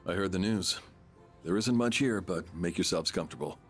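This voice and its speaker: A man's voice: deep voice, moderate pace, faint music behind, close to an everyday voice. A man playing a stern, imposing middle-aged patriarch in a video game, intense and terse.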